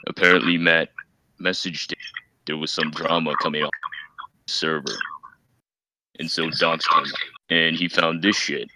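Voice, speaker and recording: A man's voice: very deep voice, steady tone, normal speed, long pauses. A man talking casually as a participant in a group voice call, on a noisy mic.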